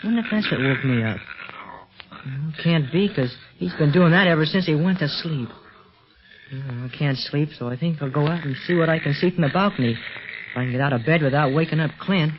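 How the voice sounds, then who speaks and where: Young man playing a plucky boy agent, highish voice, earnest and breathless, 1930s radio acting, muffled old recording. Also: a little fast, background noise, lightly acted. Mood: hushed alarm. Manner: breathless, earnest, full of boyish exclamations. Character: eager, plucky boy junior secret police agent.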